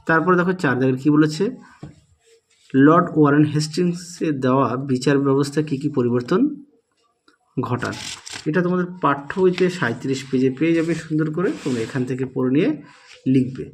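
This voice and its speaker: Husky higher-pitched man teaching. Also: fairly bright tone. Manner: dictating answers, often repeating key points. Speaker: teacher presenting exam solutions. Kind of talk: instructional walkthrough of questions and answers.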